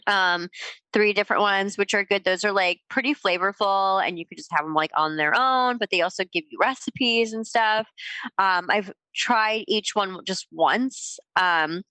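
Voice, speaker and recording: A woman's voice: medium pitch, normal speed, bright, forceful tone, no echo, low-quality recording. A young adult woman co-hosting a podcast, with a stuffed-up, sniffly nose and a rambling, filler-heavy way of talking. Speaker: podcast co-host. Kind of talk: casual chat.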